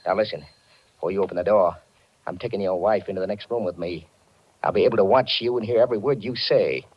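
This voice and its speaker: Guy playing a tough american blackmailer, low voice, slangy threats, old muffled radio show with some hiss. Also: quick pace, natural voice. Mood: tense, commanding. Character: menacing male blackmailer and murderer holding a gun. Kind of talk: 1940s radio acting. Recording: muffled, noisy 1940s radio recording.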